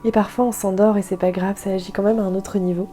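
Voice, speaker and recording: A woman guiding a yoga nidra meditation in a soft, calm, soothing way, leaving gentle pauses. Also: mid-range voice, soft background music. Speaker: guided meditation instructor.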